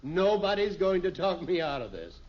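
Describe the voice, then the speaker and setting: A man's voice: high-pitched, sing-song, normal speed. An actor playing a jovial, folksy middle-aged American businessman and dinner guest in a 1950s radio sitcom, speaking emphatically. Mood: hearty, insistent. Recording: dull vintage radio recording, audience noise, hiss.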